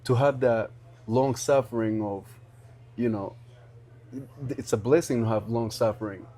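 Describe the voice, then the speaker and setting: A young man from Rwanda hosting a podcast, talking in an earnest, halting way with many restarts. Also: mid-range voice, dark tone. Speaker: podcast host. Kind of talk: casual interview chat.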